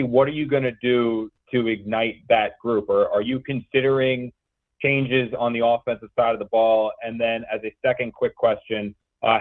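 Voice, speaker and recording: A man's voice: fairly low pitch, no room sound. An American man working as a sports reporter, putting questions at a press conference. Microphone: phone call. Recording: dry, tinny phone line.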